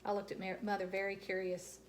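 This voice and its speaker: A woman reading a children's book aloud as a storyteller, in an animated style, recorded at home. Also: medium pitch, fairly quick. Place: quiet house.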